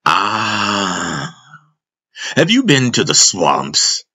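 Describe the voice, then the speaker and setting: A man's voice: lowish voice, very animated, drawn-out words, bright, forceful tone, slightly rough, heavily acted. Man voicing a loving old chieftain father, swooping expressively. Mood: cheering, amused. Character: loving gray-browed elderly father, a revered chieftain. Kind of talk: audiobook character acting.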